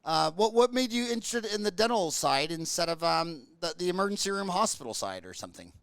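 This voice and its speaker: A man's voice: sits high, sing-song, a little fast, gravelly, fairly bright tone, clear, resonant tone, no echo. An American man hosting an interview podcast, chatting casually and telling jokes with folksy asides. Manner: joking storyteller, folksy asides. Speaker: podcast host.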